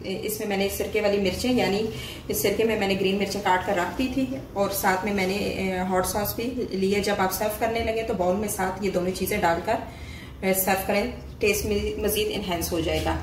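A woman's voice: medium pitch, fairly even intonation. Woman cooking host in an echoey room. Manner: friendly, explains each step plainly with small pauses. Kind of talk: step-by-step recipe narration. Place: home kitchen. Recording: echoey room, voice a bit distant, faint noise.

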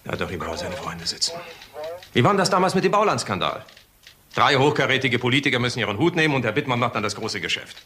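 An actor playing a brisk, frustrated police inspector in a crime radio play, curt and cynical. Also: male voice, medium pitch, sing-song, sharp tone.

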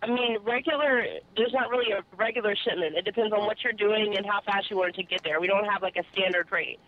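A woman's voice: mid-range voice, fast talker. A woman working as a shipping customer service agent, talking on a phone call. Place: on the other end of a phone call. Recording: muffled narrowband phone line.

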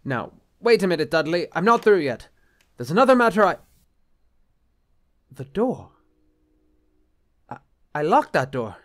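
A man's voice: fairly high pitch, very animated, a little slow, long pauses, fairly bright tone. Stiff, anxious bishop, played by a man. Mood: exasperated. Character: stiff, exasperated, anxious male bishop and husband. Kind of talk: film-adaptation audio drama acting.

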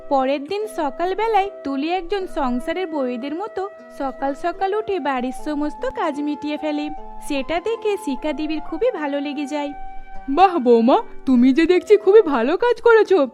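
Casting: female storyteller, high-pitched, scripted narration over music. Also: animated, moderate pace.

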